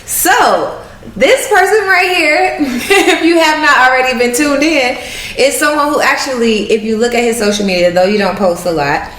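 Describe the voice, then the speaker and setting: A woman hosting a podcast, chatty and bubbly, laughing often. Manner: bubbly, laughs often, rising excited pitch. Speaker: podcast host. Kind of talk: casual interview chat. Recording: echoey room.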